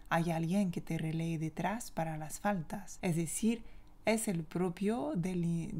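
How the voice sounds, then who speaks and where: French-accented woman teacher doing a podcast, lowish pitch, thoughtful, says what she thinks. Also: mellow. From France. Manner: reflective, opinionated, conversational. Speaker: language teacher and podcast host. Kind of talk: solo podcast monologue.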